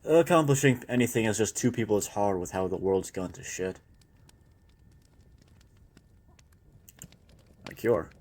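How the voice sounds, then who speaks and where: A weary survivor of the zombie apocalypse, played by a man, speaks in a fairly low voice. He is a loyal partner in an intimate audio roleplay, rambling reflectively as if in private conversation. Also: expressive, fairly quick, darkish tone. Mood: matter-of-fact planning. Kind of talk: intimate audio roleplay, one-sided dialogue.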